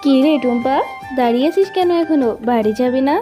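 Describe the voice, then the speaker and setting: Woman voicing a high-pitched, sly vixen neighbour. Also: quick pace, music in the background, lightly acted. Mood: dismissive, scheming. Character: sly, deceitful adult female fox neighbour. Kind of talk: children's cartoon voice acting.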